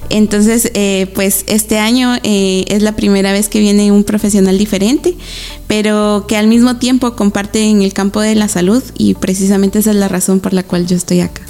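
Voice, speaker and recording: A woman's voice: mid-range voice, little pitch movement, moderate pace, mellow, faint music behind. A woman, a guest in a radio interview, speaking hesitantly with many fillers and easy laughs. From Guatemala. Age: 20s. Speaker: interview guest, rural social worker.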